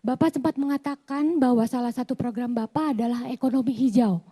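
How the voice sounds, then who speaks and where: Very dark, slightly hoarse young woman's voice. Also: a little high, brisk. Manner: polite. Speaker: audience member asking a question. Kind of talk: formal public question.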